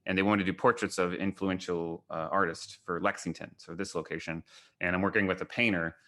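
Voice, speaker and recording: A man's voice: deep voice, steady tone, brisk, pushed and bright. An American man from Kentucky speaking informally on a video call as an artist, in a rambling, anecdotal way that often quotes people. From Kentucky, United States. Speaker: photographer and artist giving a gallery talk. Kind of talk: informal online talk, answering questions.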